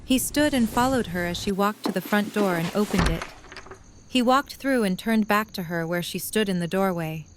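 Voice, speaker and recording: A woman's voice: medium pitch, normal speed, background noise. A woman reading scripted third-person story narration for an audio drama.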